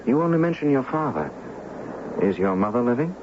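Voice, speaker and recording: A man's voice: medium pitch, very animated, fairly quick, close to an everyday voice. An actor playing a wealthy, restless young man in love, speaking and narrating earnestly in a 1970s radio drama. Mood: tender, curious. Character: wealthy, restless young heir in love, recounting his story. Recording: noisy, dull 1970s radio broadcast recording.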